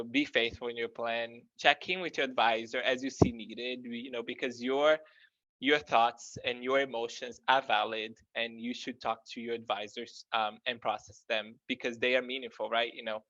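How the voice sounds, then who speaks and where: Brazilian-accented man, bright voice, halting with fillers; dull dry recording. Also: medium pitch, no room sound. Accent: Brazilian Portuguese. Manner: hesitant, heavy with "um" and "you know" fillers. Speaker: financial research guest. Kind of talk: explanatory conversational talk.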